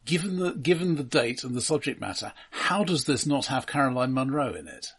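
A man with a somewhat husky voice talks through films as a co-host. His delivery is conversational and wry, with dry asides. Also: mid-range voice, sing-song, fairly quick, a bit bright. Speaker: film podcast co-host. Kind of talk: casual film discussion chat.

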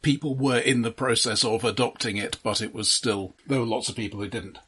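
A man co-hosting a casual film discussion, explaining his points in a halting way and often repeating words. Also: medium pitch, steady tone, fairly bright tone, slightly rough. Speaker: podcast co-host.

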